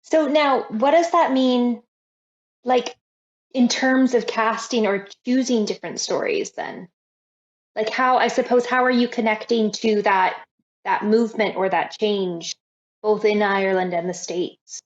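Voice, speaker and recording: A woman's voice: on the high side, a little slow. A woman hosting an interview, asking long questions in a casual way. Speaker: interviewer host. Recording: dull lo-fi audio.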